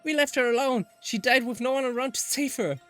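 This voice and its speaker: A man's voice: extremely high pitch, a little fast, fairly bright tone, faint music behind, voice pushed up. A man who is a native German speaker voicing a distraught, hot-headed young visual novel protagonist in an emotional, exclamatory read-aloud. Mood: shocked, grieving.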